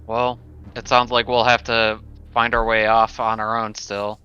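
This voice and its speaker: A man's voice: lowish voice, monotone, a little slow, pushed and bright, smooth, dull audio, soft background music. A man playing a tabletop game, talking casually through plans with the group.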